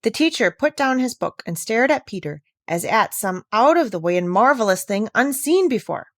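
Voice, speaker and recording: A woman's voice: fairly high pitch, sing-song, normal speed, no echo, studio quality. A woman reading a children's classic aloud as a scripted storybook narrator.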